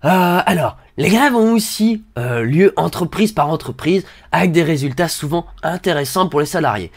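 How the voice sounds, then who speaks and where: A man gives a sarcastic read-along of an article, hesitating often and dropping mock-innocent remarks. His voice sits a little high and has a gravelly, creaky texture. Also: expressive, moderate pace. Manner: hesitant, many fillers, mock-naive asides. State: has a cold. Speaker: satirical commentator reading an article aloud.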